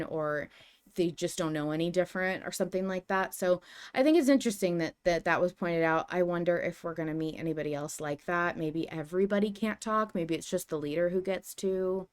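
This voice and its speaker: A woman's voice: lowish voice, smooth. A woman hosting a podcast, talking through ideas in a casual, musing fan discussion. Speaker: podcast host.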